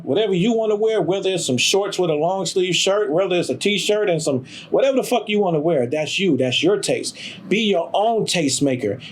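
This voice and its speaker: A middle-aged man, speaking in a slightly higher register than most men. He talks in a streetwise, emphatic and profane way, as in a documentary interview. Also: slight background noise. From Detroit, USA. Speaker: sneaker store owner.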